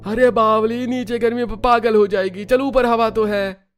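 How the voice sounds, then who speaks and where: A man voicing an excitable young village man who urges and pleads in a cartoon story. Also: very high voice, normal speed, voice pushed up. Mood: pleading, exasperated. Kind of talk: Hindi cartoon dialogue acting.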